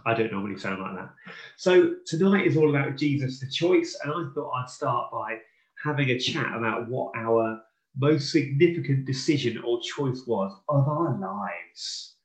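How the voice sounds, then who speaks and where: A man's voice: mid-range voice, lively intonation, normal speed. A man with a stuffy, slightly blocked nose chats easily as a podcast co-host. He is self-deprecating and playful. The room around him sounds roomy and echoing. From Norfolk, England. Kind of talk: casual live podcast chat.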